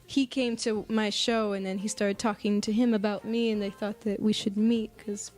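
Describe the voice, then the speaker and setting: An American woman speaking casually in an interview as a singer-songwriter, thoughtful and halting, often pausing mid-phrase. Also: mid-range voice, flat delivery, darkish tone. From United States. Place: apartment.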